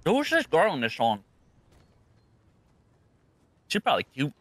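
A man's voice: on the high side, huge pitch swings, unhurried, a bit bright, no echo. A young man chatting over voice chat during a game, rambling in a goofy way, half to himself. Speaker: friend in a game party. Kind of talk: casual gaming chat.

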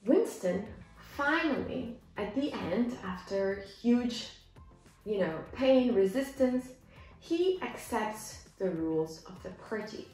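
A young woman teaching English, reading a simplified story and explaining it with deliberate, demonstrative enunciation for learners. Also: mid-range voice, big pitch swings, a little mellow. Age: young adult. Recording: echoey room, music behind.

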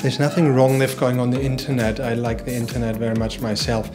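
A man in his forties speaks as a vet in a surgery room, slow and hesitant, pausing often on "um". His voice sits mid-pitch and stays flat, with music playing underneath. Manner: hesitant, many ums, measured. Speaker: vet and practice owner. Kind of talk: interview answers. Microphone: handheld furry mic off camera. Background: music bed.

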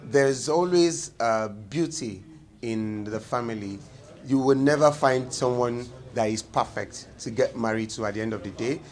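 A man in his thirties with a Nigerian accent, explaining in an interview and pausing to search for words. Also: mid-range voice, soft background music. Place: indoor room.

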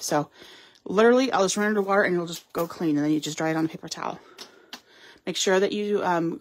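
Woman doing a live craft demo, talks you through each step, lots of ums. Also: lowish voice. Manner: chatty, explains while working, frequent ums. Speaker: craft store demonstrator. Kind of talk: live card-making demo. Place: craft table at home or in a studio.

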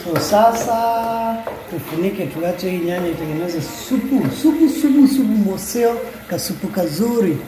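A man's voice: high voice, lively intonation, normal speed, a crowd in the background. A man with a Kenyan accent chatting casually as he cooks in a small home kitchen, mixing languages and half-singing repeated words. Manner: chatty, mixes Swahili and English, sing-song repeats words. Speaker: home cook vlogger. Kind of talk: casual cooking vlog. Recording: echoey kitchen with music behind.

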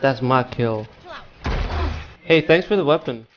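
A man's voice: mid-range voice, slow pace, muffled recording, a little hiss or hum. A young man hosting a gaming video, teasing and provoking teammates over voice chat with mock-friendly sarcasm. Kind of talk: trolling voice chat during an online shooter match.

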